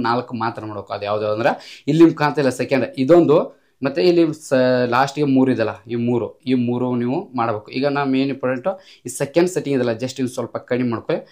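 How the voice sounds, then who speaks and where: A man with a mid-pitched voice talks very fast through a casual app tutorial. He instructs step by step, repeating himself and dropping in English tech words. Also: a little mellow.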